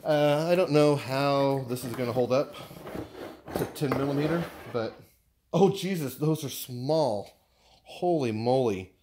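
A man with an American accent chatting casually and haltingly, thinking aloud as he shows off purchases in a living room. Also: medium pitch, noisy background. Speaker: vlogger showing purchases. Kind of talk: casual unboxing chat.

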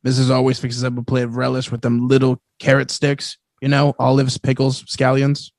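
A man's voice: medium pitch, completely flat delivery, moderate pace, darkish tone, no room sound, studio quality, lightly acted. A man playing a simple, gullible police sidekick, plaintive and rambling, in a comic radio drama. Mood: chatty.

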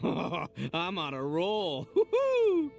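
A man's voice: high-pitched, huge pitch swings, very slow, creaky, soft background music, exaggerated voice. A man voicing a brash, boisterous young oni gang boss in a video game, cocky and theatrical with slangy showboating. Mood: exuberant, cocky. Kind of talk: animated video game character voice lines.

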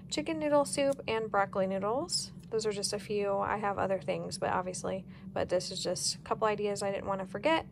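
American woman, home vlogger, fairly high pitch, casual easygoing narration, kitchen recording, noticeable noise. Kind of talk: casual grocery haul and meal plan narration.

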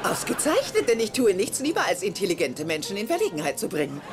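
Actress as a very animated, mischievous older rich lady. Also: medium pitch, fast talker, close to an everyday voice. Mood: amused, teasing. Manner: teasing, self-assured, patronizing. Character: rich, mischievous older widow, teasing and domineering. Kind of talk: dubbed sitcom dialogue. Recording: music and crowd behind voice.

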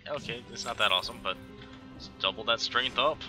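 A man with a light Texan accent talks his way through a video game into a headset, chatty and quick to exclaim. His voice is sharp and bright, middling in pitch, with faint game music underneath. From Texas. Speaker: gaming channel host. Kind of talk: casual gameplay commentary.

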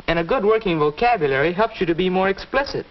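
An actor playing an earnest, articulate young American student son who explains things patiently in a muffled 1940s educational film. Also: male voice, high voice, expressive, fast.

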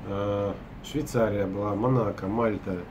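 Hesitant Russian man, low voice, room hum. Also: fairly even intonation, measured pace. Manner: hesitant, many filler sounds and restarts. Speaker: property broker. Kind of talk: casual recap to camera. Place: hotel room at night, window behind.

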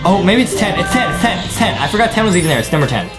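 A young man hosting a playful reaction game, goofy and excitable, often repeating his phrases. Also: high-pitched, very fast. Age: young adult. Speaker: YouTube host. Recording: loud music behind the voice. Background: loud music.

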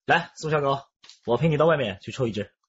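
A man's voice: medium pitch, lively intonation, fast, a bit bright, muffled recording, slight background noise, close to an everyday voice. An actor playing a shrewd male police detective in a radio drama, with a wry, probing manner. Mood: teasing.

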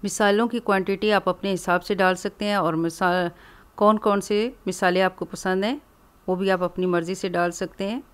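Woman, from Pakistan, cooking channel host, calm instructive voice-over, mid pitch. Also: normal speed, smooth. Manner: calm, instructive, explains each step plainly. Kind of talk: step-by-step cooking tutorial voice-over.

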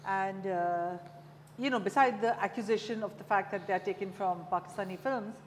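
A woman's voice: mid-range voice, a little fast. A middle-aged woman, asking questions conversationally as a talk show host. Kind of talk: conversational interviewing. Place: indoor cafe-like room, seated at a long table. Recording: reverberant room with faint hum.

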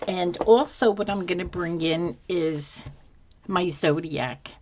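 A slightly hoarse woman with a low, unhurried voice talks through her crafting at a desk. She muses aloud to herself as she goes. The audio is a bit dull and lo-fi. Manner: unhurried, musing to herself with fillers. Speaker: planner decorating channel host. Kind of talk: casual thinking-aloud crafting narration. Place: indoors at a desk. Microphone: overhead camera, hands only.

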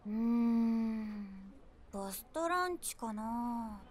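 A woman's voice: a little high, expressive, extremely slow, darkish tone. An actress playing a prim, cutesy, polite woman in her twenties on a date in an audio drama. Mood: sweet, coy. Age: 20s.

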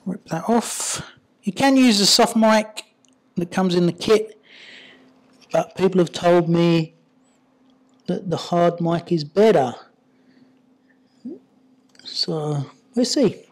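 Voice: medium pitch, slow, long pauses. A person giving an informal hands-on installation tutorial, muttering to themselves while working. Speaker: product installer and reviewer. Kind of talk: casual hands-on tutorial narration.

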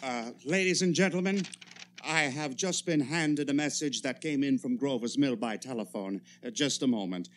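An actor playing a grave, formal news announcer in a 1930s-style radio drama, solemn and a little halting. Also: male voice, mid-range voice, lightly acted. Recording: faint hum and noise.

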